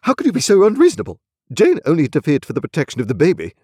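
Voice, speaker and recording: A man's voice: on the high side, huge pitch swings, very fast, warm tone, husky, no echo, clean studio sound, voice pushed up, heavily acted. A man voicing an exasperated, helpless husband and father, protesting with theatrical despair in a storybook reading. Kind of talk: dramatised classic novel reading.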